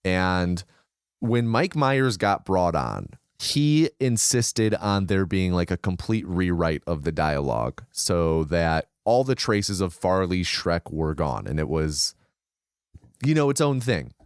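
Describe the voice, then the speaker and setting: Low, smooth-voiced man sharing film trivia. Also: big pitch swings, takes it slowly, no echo, studio quality. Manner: informative, shares researched trivia with frequent fillers. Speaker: podcast co-host. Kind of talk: casual film podcast chat.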